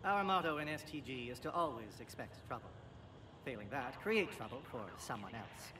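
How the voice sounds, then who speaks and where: A man playing a brisk amphibian alien military officer in a video game, speaking in a clipped, formal, dry way. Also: medium pitch, lively intonation, natural voice. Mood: brisk, wry. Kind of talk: video game cutscene acting. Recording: faint background noise in game capture.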